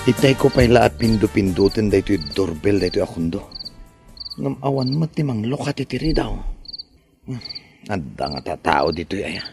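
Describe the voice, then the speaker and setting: A man with an Ilocano accent playing a lovesick, dejected young delivery rider in a comedy drama, halting and plaintive. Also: fairly low pitch, expressive, gravelly, loud music behind the voice. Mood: polite, flustered. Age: young adult.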